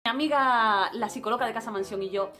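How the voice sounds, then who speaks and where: A young woman with a Spanish accent from Spain, chatting casually to camera as a travel vlogger, animated and expressive. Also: a little high, fast talker. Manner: animated, expressive, gestures a lot. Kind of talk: casual vlog monologue. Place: small room indoors.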